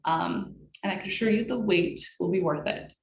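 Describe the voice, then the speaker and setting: Low-voiced woman in an echoey room. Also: vocal fry. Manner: measured, with many ums. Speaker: guest speaker. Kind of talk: advice presentation. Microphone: video call.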